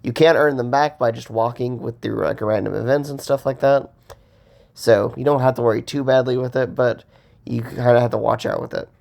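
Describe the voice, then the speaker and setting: A man with a cold casually commenting on gameplay as host, rambling with fillers and pausing for breath. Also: medium pitch, fairly even intonation, gravelly, a little mellow. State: has a cold.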